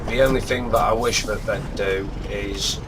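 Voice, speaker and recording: A man with a congested voice and a sore throat, giving easygoing, laid-back commentary while he plays a game. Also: fairly low pitch. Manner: unhurried, easygoing, appreciative. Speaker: gaming channel host. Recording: steady truck and air-conditioning hum behind. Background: game engine and cab noise.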